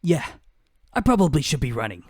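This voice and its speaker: A man's voice: fairly high pitch, big pitch swings, fairly quick, husky, no room sound, clean studio sound. A man doing amateur voice acting of game character lines, theatrical and changing from one character voice to another.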